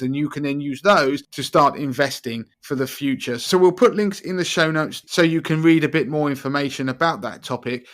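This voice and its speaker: A British podcast host in his forties explains finance in a patient, step-by-step way, building each point from simple numbers. His voice sits slightly higher than most men's. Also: a bit bright. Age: 40s. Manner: patient, explanatory, walks through worked examples. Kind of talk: explaining personal finance to a teenage guest.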